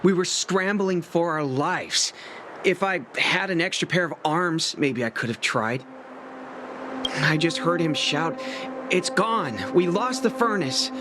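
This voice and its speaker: A man's voice: a little high, lively intonation, slow, noisy background. A man voicing an anxious, frank and weary young apprentice craftsman in a video game, with a light youthful voice. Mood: anxious, distressed. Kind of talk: video game character acting. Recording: loud game music behind voice. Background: game music.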